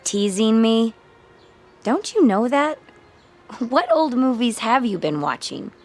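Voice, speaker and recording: A woman playing a sweet, curious teenage girl in a radio drama, with a gentle, wondering manner. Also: fairly high pitch, expressive, slow. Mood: gentle teasing.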